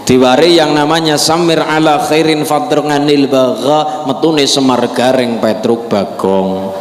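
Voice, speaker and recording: A man's voice: medium pitch, a little slow. A man with a Javanese accent giving a humorous sermon, telling jokes and acting out little dialogues. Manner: jokey storyteller, acts out dialogues with voice shifts. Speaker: preacher. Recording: echoey hall with long reverb.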